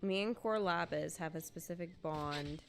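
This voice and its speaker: A woman's voice: fairly low pitch, normal speed, vocal fry, faint music behind. A woman streamer chatting casually and thinking aloud while she plays a game live.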